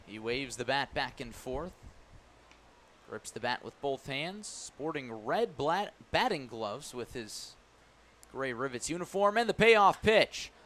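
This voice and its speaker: An American man doing live radio baseball play-by-play, building excitement as the action unfolds. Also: fairly high pitch.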